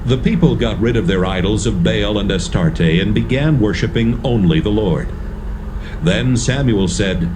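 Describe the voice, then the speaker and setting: A man giving measured, storytelling narration for a dramatised audio Bible. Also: lowish voice, noisy background.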